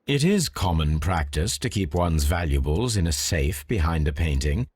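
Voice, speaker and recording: An actor playing a composed, analytical detective who muses aloud in a measured, precise manner in a video game. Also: male voice, sits low, big pitch swings, a little mellow, breathy edge, no echo, heavily acted. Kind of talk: video game cutscene acting, musing aloud.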